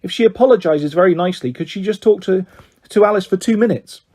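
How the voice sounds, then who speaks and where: A man voicing an affectionate, wry mother, mildly exasperated and gently scolding, in a children's storybook reading. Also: high-pitched, quick pace, pitched above the performer's own voice. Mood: polite, exasperated.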